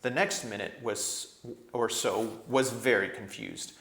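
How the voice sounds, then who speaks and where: A man narrating a storybook aloud in an expressive, scripted read-aloud style. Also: on the low side, slow pace. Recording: echoey room.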